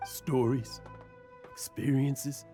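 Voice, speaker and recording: An actor playing a weary, drunken old man, a washed-up adventurer, who talks slowly, heavily and mournfully. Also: mid-range voice, little pitch movement, dark tone, soft background music. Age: older. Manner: slow, heavy and mournful.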